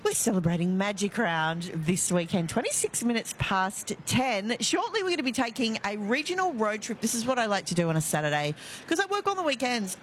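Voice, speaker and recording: A woman with an Australian accent hosting live talkback radio outdoors, chatty and self-mocking, laughing easily. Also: mid-range voice. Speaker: radio host. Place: outdoors outside a stadium, live broadcast. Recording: faint outdoor background noise. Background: faint ambient noise.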